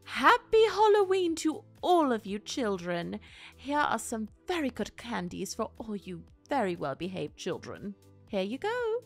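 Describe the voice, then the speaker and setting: Woman playing effusive party guest, raised pitch, animated, excitable, audio drama, music behind. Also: unhurried, exaggerated voice. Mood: cheerful.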